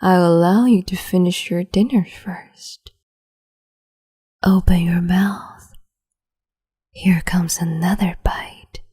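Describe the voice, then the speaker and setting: A cruel, capricious young empress, played by a woman coos and whispers in a soft, breathy voice, taking her time over every word. Her tone is low and mellow, teasing and condescending as she dotes on her pet. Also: steady tone, full character voice. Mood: cruel doting. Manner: slow, teasing, condescending, doting. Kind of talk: ASMR roleplay audio.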